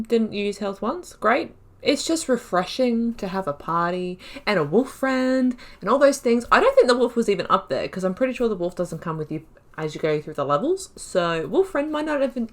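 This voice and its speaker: A woman gaming YouTuber giving casual, chatty commentary as she plays through a role-playing game, reacting out loud. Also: fairly high pitch. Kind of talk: casual let's-play commentary.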